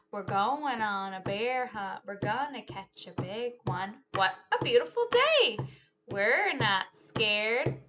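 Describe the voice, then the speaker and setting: A woman reading a children's story aloud like a teacher, theatrically, drawing out key words in a sing-along chant. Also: fairly high pitch. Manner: theatrical, drawn-out key words, shifting between hushed whispers and loud exclamations. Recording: noticeable background noise.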